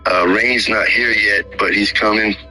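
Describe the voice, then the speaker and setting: A man's voice: lowish voice, steady tone, a little slow, bright, forceful tone, faint music behind, rough recording. A man hosting a casual live show, talking in an insistent, emphatic way. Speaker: show host. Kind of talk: casual livestream chat.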